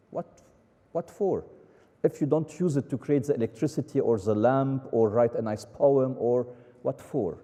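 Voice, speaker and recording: A man from Lebanon speaks onstage at a conference. His voice is very dark, and a long hall reverb trails behind it. He argues with quick, emphatic rhetorical questions. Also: mid-range voice. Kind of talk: onstage conversation.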